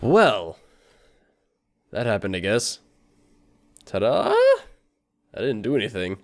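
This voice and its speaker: A man's voice: lowish voice, expressive, unhurried. A man giving casual, rambling video game commentary in a wry, self-deprecating manner. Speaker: video game let's player.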